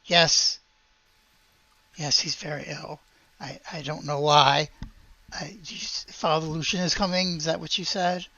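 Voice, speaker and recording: A worried, worn-out orphanage matron in a tabletop game, acted out by a man. The voice is raspy and creaky, with a bright edge. She speaks haltingly and quietly, trailing through careful answers. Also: fairly high pitch, steady tone, no echo, highs cut off, exaggerated voice. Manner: hesitant, halting, subdued. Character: worried, weary woman who runs an orphanage.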